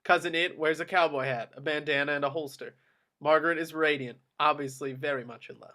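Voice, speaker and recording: Male narrator, fairly high, bright forceful tone, reading scripted action description. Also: steady tone, fairly quick. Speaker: narrator reading stage directions. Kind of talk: scripted narration for a table read.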